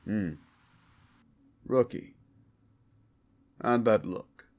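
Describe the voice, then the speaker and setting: A man playing a gruff, wisecracking demon-born monster hunter in an action horror audio roleplay, talking in blunt tough-guy slang. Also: fairly low pitch, very slow, darkish tone, a little hiss or hum. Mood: wry, relaxed. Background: music.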